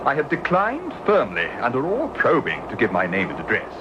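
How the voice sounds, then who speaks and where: Genteel Victorian murderer, haughty, lively voice, loud hiss. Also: male voice, medium pitch, brisk. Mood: disdainful. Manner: precise, haughty, contemptuous. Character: genteel Victorian gentleman killer, cold and disdainful. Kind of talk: radio drama narration and acting. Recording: dull lo-fi old radio recording with loud hiss.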